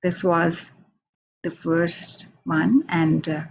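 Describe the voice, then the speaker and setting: A woman from India with an Indian accent, a Hindi native speaker, giving a halting, unhurried poetry reading over a video call from a radio station office. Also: on the low side, level tone, gravelly, husky, rough recording. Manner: unhurried, halting, with many fillers. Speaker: poet.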